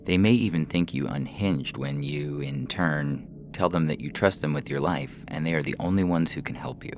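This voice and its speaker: A man reading a literary short story aloud in measured, deliberate phrases with pauses. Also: very deep voice. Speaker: story reader. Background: faint background music.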